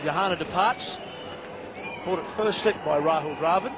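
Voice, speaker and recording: A male commentator describes the cricket in a steady, descriptive way, his voice pitched high for a man. A roaring stadium crowd and dense noise sit under the broadcast. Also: normal speed.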